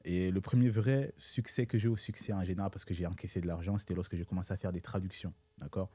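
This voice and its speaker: A young man with a low, mellow voice talks through his story in a steady, measured way, often checking in with "ok?" as he goes. French is not his first language. The sound is close and dry. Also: breathy edge, no room sound. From born in Italy, raised in Africa. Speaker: guest entrepreneur. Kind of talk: casual interview talk.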